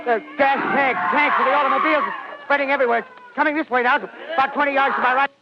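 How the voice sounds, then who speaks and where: An actor playing a radio field reporter witnessing a monster, talking in halting, breathless bursts that climb to panicked shouting, in a 1930s radio play. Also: male voice, very high-pitched, steady tone. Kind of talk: 1930s radio drama acting. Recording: dull old radio broadcast with hiss.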